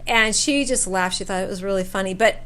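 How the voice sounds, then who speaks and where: A woman's voice: mid-range voice, fairly quick, a bit bright. A woman telling a personal story casually, acting out bits of dialogue and repeating words for emphasis. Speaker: storyteller sharing a personal camping experience.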